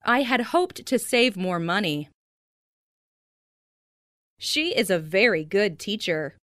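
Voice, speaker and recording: A woman reads scripted English practice phrases in a clearly enunciated, instructional way. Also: medium pitch, big pitch swings, slow pace, fairly bright tone, dry recording, clean studio sound. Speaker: language lesson narrator.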